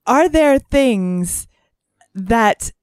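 A woman from Greece hosting a casual conversation, picking her words haltingly with frequent pauses. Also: fairly high pitch, expressive, darkish tone, smooth, no echo, studio quality. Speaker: podcast host.

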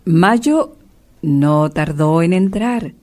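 A woman's voice: on the low side, lively intonation, extremely slow, darkish tone. A woman narrating a scripted radio drama as a storyteller.

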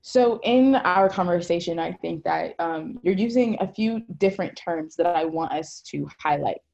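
A woman interviewer asking questions in a measured, prompting way. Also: on the low side, creaky, a little mellow, dull audio.

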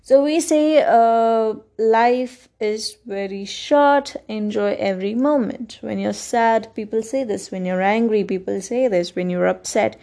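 A woman with an Indian English accent hosts a solo podcast at an unhurried, drawn-out pace. Her voice is soft and mellow, and she speaks earnestly and thoughtfully, filling pauses with ums and uhs. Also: fairly high pitch. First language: Kannada. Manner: earnest, reflective, with frequent fillers. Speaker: podcast host.

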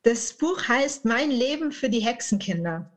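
A woman's voice: a little high, lively intonation, a little slow. A woman in her late thirties from southern Germany, chatting playfully and colloquially as an interview guest. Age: late 30s. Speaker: podcast guest, author. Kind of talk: casual interview conversation.

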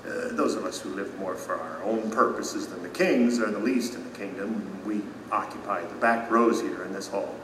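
An actor playing a humble, remorseful citizen in an audio drama, earnest and confessional, in an echoing hall. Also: male voice, lowish voice, recorded from a distance, background noise, lightly acted. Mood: gently earnest. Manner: earnest, confessional, thoughtful pauses. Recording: echoey hall, music behind.

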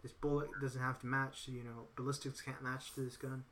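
A man's voice: mid-range voice, level tone, brisk, fairly bright tone, a little hiss or hum. A man in casual political chat, rambling and repeating himself with lots of "you know". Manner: acts out others in quoted voices.

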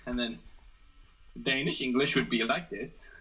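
A man's voice: mid-range voice, a little slow, pushed and bright, slightly rough, low-quality recording. A man co-hosting a podcast in casual joking chat, goofy and self-mocking with crude humor. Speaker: podcast co-host.

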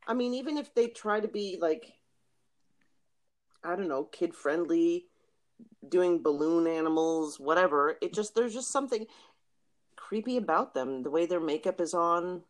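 A woman's voice: on the low side, animated, normal speed. A woman co-host in a casual chat, reacting expressively and laughing.

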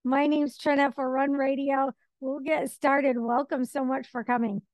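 High-voiced woman hosting an interview. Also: flat delivery, unhurried, darkish tone, no room sound. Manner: friendly, asks long open questions. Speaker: podcast host.

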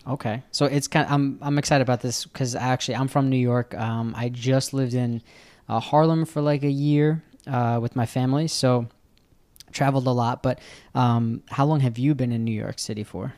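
A man's voice: medium pitch, steady tone, normal speed, a little mellow. A man hosting a travel podcast, chatting casually with a guest and often restarting his questions mid-sentence. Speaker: podcast host. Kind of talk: casual interview chat.

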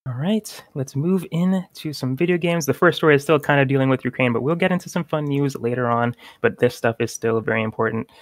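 A man's voice: mid-range voice, brisk, hardly any pauses, no room sound. A man co-hosting a gaming podcast, chatting casually and reading news aloud with small stumbles.

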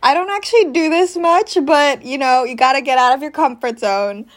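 A woman's voice: high voice, normal speed. A young woman from Indonesia talking casually and candidly to listeners as a podcast host, in an informal, confiding way with slang. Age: young adult. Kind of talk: casual personal talk to listeners.

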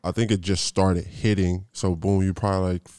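A man's voice: low voice, little pitch movement, moderate pace, mellow, smooth, no echo. A man hosting a podcast, telling a rambling, slangy story with lots of restarts. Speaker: podcast host. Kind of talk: casual interview intro.

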